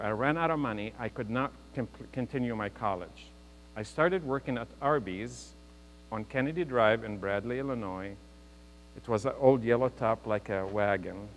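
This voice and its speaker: A man's voice: medium pitch, a bit bright. A man in his fifties from Lebanon, giving an earnest, joking motivational speech to a live audience. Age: 50s. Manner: earnest, joking, speaks to the crowd. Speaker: guest speaker. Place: large hall with a live audience. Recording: reverberant hall, mains hum.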